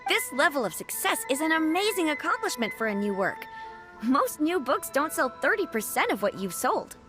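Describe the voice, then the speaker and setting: Bright, polite young clerk, high lively voice, game music clear behind. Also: female voice, moderate pace. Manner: polite, formal, upbeat. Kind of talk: video game voice acting.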